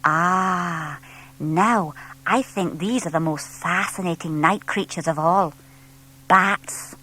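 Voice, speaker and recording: A woman's voice: on the low side, takes it slowly, slight background noise, low-quality recording. A woman voicing a friendly young lady artist who explains things patiently and enthusiastically in a children's audiobook. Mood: enthusiastic. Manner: animated, explaining gently to a small child.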